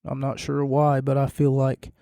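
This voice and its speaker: A man hosting a solo podcast, rambling and self-deprecating, his voice going hoarse and quiet. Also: medium pitch, monotone, moderate pace, no room sound, clean studio sound. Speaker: podcast host. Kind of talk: casual solo podcast recap.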